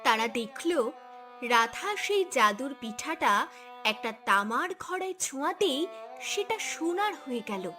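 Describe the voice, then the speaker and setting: A woman's voice: high-pitched, very animated, measured pace, over music. A woman narrating a scripted cartoon story in a dramatic storytelling style.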